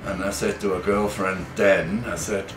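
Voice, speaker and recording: A man's voice: deep voice, normal speed, music in the background. Man, echoey and distant. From Switzerland. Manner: relaxed, rambling with fillers. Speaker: mountain manager. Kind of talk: interview.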